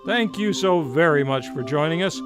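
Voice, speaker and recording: A man's voice: a little high, expressive, normal speed. A man announcing a scripted old-time radio show in a formal, showman-like manner. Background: music.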